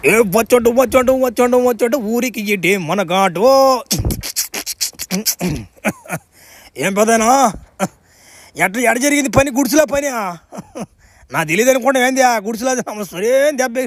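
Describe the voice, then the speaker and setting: A man's voice: very high voice, brisk, no echo. A man voicing a brash, teasing, foul-mouthed young man in a cartoon comedy. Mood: gloating. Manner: cocky, slangy, foul-mouthed.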